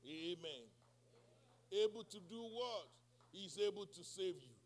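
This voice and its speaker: A male pastor preaching a sermon, speaking English as a second language, who swings between soft, quiet passages and shouted, call-and-response preaching. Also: high-pitched, animated, a little slow. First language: not English.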